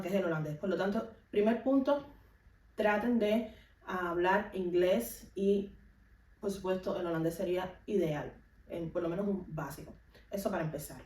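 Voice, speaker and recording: A woman's voice: medium pitch, a little mellow. A woman with a Cuban accent talking casually to viewers as a vlogger, explaining things and giving practical advice in an instructive way. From Cuba. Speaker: vlogger and music teacher. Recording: echoey room, distant microphone.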